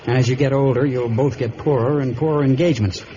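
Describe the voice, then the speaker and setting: A man's voice: medium pitch, fairly even intonation, quick pace, mellow, lightly acted. An actor playing an exasperated, insistent showman in love in a vintage radio melodrama, pleading his case. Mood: bitter warning. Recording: dull, lightly noisy old radio recording.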